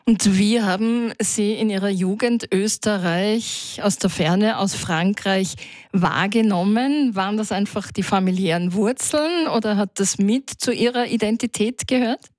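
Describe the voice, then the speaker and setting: A woman's voice: mid-range voice, unhurried, a little mellow, no room sound. A woman hosting a radio interview, asking composed, well-prepared questions into a studio microphone.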